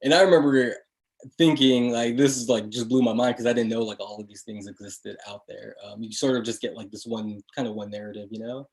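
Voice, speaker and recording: A man's voice: on the low side, steady tone, brisk, darkish tone, poor audio. A young man hosting a casual interview, introducing the guest and asking loose, rambling questions with lots of fillers. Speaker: interview host.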